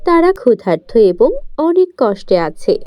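A woman's voice: on the high side, big pitch swings, measured pace, a little mellow, dry recording. A woman narrating a cartoon story and speaking to young viewers. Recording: dull lo-fi dry recording.

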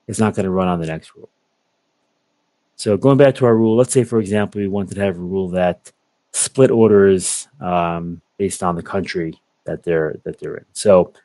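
A young man giving a methodical, step-by-step software walkthrough over a video call. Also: low-pitched, no echo. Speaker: software product presenter. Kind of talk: screen-share software walkthrough.

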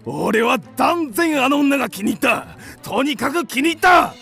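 A man's voice: very high-pitched, animated, moderate pace, creaky, bright, forceful tone, soft background music, heavily acted. A man playing a blustering, hot-headed creditor given to impulsive declarations in a theatrical radio drama. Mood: excited admiration.

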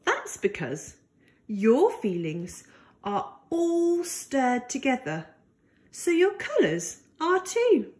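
A woman reads a picture book aloud for small children, with a high voice that rises and falls in lively swoops. She takes her time, very slowly and deliberately, keeping the delivery gentle and expressive. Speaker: storyteller for young children.

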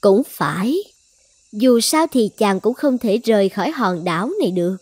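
A woman's voice: mid-range voice, lively intonation, moderate pace, a little mellow, slightly rough, no room sound. A woman voicing a possessive young island sorceress in a cartoon fairy tale, coaxing and threatening with commanding self-assurance. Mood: wary, indulgent. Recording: music behind the voice.

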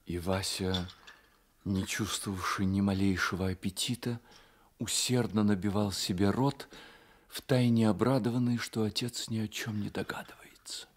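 Man narrating a literary story for radio drama, lowish voice. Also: fairly even intonation, moderate pace.

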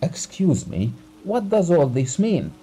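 A man's voice: mid-range voice, animated, a little slow, mellow, faint music behind, lightly acted. A man reading a story aloud, voicing a wealthy, haughty nobleman who shrieks at others and his servants. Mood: wounded. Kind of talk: audiobook character reading.

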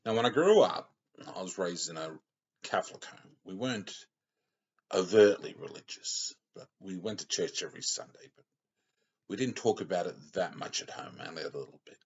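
A man's voice: low-pitched, animated, normal speed, a little mellow, husky. A middle-aged man giving a rambling, plainspoken sermon to a webcam in a small room, often correcting himself as he talks. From Australia. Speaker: lay preacher. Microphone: webcam close up. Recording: dull lo-fi webcam audio.

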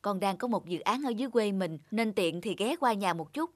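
A woman's voice: mid-range voice, lively intonation, fairly quick, no room sound, natural voice. A woman voicing a busy, weary young working mother in a cartoon. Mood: brisk. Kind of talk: cartoon voice acting.

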